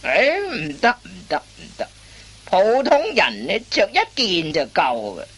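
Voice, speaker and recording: A woman playing a wily veteran master thief in a comic radio play, instructing in drawn-out phrases full of interjections. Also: on the low side, huge pitch swings, a bit bright, breathy edge, heavily acted. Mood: fussy, advising.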